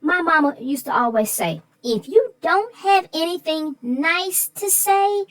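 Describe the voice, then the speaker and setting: A woman's voice: very high voice, animated, measured pace, dry recording. A woman co-host chatting casually about a children's story, folksy and full of sayings.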